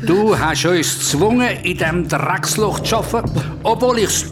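A sadistic avenger from a horror radio play, speaking Swiss German with cold menace. The voice swings widely from low threats to higher taunts. Loud music plays underneath. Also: male voice, expressive. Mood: bitter accusation. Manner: menacing, taunting, slow-burning. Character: vengeful mutilated male worker back from the dead, coldly sadistic. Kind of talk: horror radio drama acting. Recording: loud music bed behind.

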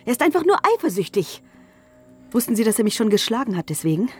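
An actress playing a shrewd, flirtatious wife with a sardonic, challenging manner in a radio crime drama. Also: female voice, on the high side, very animated, fast talker, darkish tone, breathy edge, faint music behind. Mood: wheedling. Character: shrewd, flirtatious, scheming wife, sharp-tongued when crossed.

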